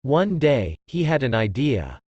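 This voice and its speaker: A man's voice: mid-range voice, big pitch swings, a little slow, darkish tone, breathy edge, no room sound, muffled recording. A man narrating a scripted story for children.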